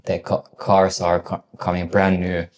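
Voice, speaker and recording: A man's voice: deep voice, completely flat delivery, a little slow. A man hosting a podcast interview, asking long, rambling questions full of "like" and restarts. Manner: rambling, heavy use of "like" and restarts.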